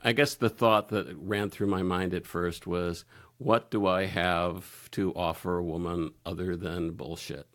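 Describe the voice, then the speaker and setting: A man speaking candidly as a podcast guest, halting and searching for his words. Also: deep voice, fairly even intonation, fairly bright tone. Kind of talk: candid personal conversation.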